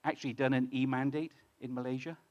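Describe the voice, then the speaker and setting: A man's voice: mid-range voice, fairly even intonation, fast talker, darkish tone, dull audio. A British man, a fintech founder, speaking in a conversational way at a conference and asking the audience questions. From United Kingdom. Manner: conversational, many restarts, asks the audience questions. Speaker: fintech founder presenting on stage. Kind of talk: conference talk to a live audience.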